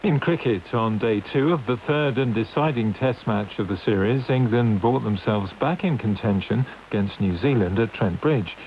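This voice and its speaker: A man's voice: fairly low pitch, moderate pace, few pauses. A man reading scripted news as a radio newsreader over a long-wave broadcast. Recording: dull long-wave radio with faint hiss.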